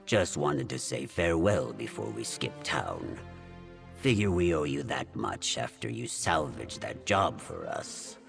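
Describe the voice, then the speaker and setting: Sardonic veteran rogue for a game: unhurried, grumbling, swinging intonation, music clearly behind him. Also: male voice, a bass voice, heavily acted. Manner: deadpan, sarcastic. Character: dry, grumbling veteran thief, sardonic and world-weary. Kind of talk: scripted video game dialogue.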